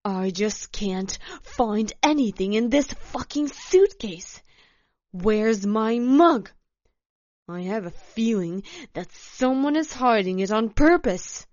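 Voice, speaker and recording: A woman storyteller voicing a furious, irritable, demanding woman who snaps and wails loudly. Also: mid-range voice, lively intonation, very slow, pauses often, no room sound, dull audio. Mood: irritable, angry. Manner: loud, snapping, wailing outbursts. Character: furious, shrill, foul-mouthed woman hiker, irritable and demanding.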